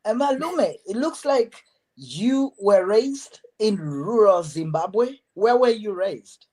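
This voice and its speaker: A woman hosting an interview, asking questions and giving gentle, affirming prompts. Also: medium pitch, expressive, slow pace. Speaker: host.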